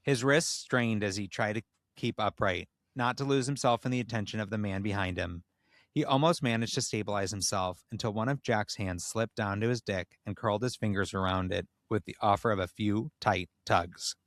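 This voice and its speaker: Man, lowish pitch, dry recording, podcast host, expressive comedic erotic story narration. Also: normal speed, dry recording. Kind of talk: comedic reading of erotic fan fiction.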